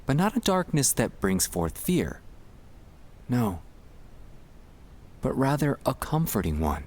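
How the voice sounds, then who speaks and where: A man speaking in a gentle, soothing and reassuring way, guiding relaxation in an ASMR style with pauses between short phrases. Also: medium pitch, big pitch swings, husky. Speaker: ASMR sleep-aid performer.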